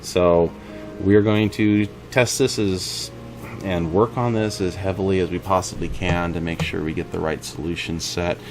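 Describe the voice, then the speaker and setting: A man's voice: sits low, steady tone, normal speed, darkish tone. A man giving an informal update to viewers as an indie game developer. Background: music behind the voice.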